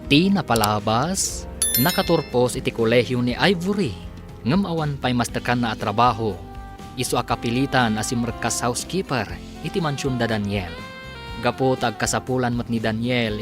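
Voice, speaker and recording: A man's voice: medium pitch, moderate pace. Ilocano guy announcing a radio serial, dramatic scripted read, music under him.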